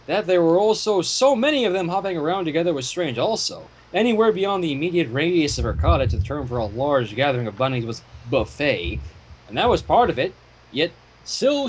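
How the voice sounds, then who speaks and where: High-voiced, animated male storyteller. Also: fairly quick, rough recording. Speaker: fan fiction reader. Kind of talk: story narration with casual commentary. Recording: home microphone with clear noise.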